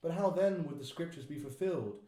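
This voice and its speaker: A man voicing Jesus as a calm, grave teacher in a dramatised scripture reading, speaking in a measured, solemn way. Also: a little high, close to an everyday voice. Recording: echoey room.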